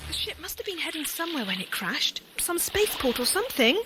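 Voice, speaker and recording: A woman's voice: high voice, very animated, fairly quick. A woman playing a determined, impatient space traveller in an amateur 1980s audio drama. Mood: urgent, insistent. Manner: insistent, dramatic.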